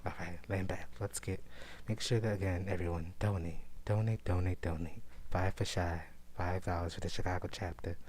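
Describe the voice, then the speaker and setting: A man hosting an ASMR-style news show, talking softly in a put-on posh British voice and laughing often. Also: sits low, fairly even intonation, normal speed, hardly any pauses.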